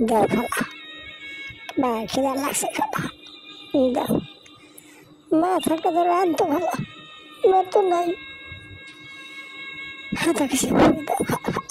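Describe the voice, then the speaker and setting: A woman's voice: very high-pitched, huge pitch swings, slow, pauses often, exaggerated voice. A woman voicing a poor, hungry, motherless little girl in a Bengali moral cartoon, plaintive, with a rural Bangladeshi accent. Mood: pleading, hungry. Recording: background music over the voice.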